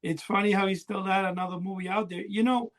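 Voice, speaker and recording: A man talks casually as a podcast co-host. His voice is high for a man, with a lot of gravelly creak in it. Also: fairly even intonation, fast. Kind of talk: casual chat.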